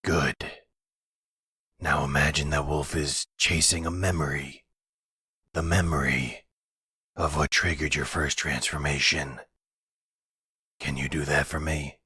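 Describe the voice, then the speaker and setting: A man playing a very deep, husky werewolf elder. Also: fairly even intonation, pushed and bright, no room sound, clean studio sound, exaggerated voice. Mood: soothing, guiding. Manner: measured, formal, soothing guide. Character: calm, fatherly werewolf pack leader and researcher. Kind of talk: roleplay audio drama.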